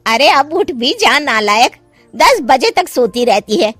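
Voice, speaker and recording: A middle-aged mother from a cartoon moral story, played by a woman, scolding in a high, nagging voice. She sounds exasperated, recorded dry and close over faint music. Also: sing-song, a little slow, fairly bright tone, dry recording, heavily acted. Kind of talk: animated moral story voice acting.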